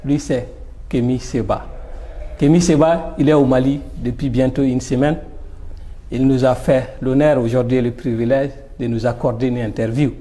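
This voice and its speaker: A man hosting a formal interview, introducing his guest and asking questions in a deliberate, respectful manner. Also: medium pitch, fairly even intonation, dark tone. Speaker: interviewer, host. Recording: noticeable background noise.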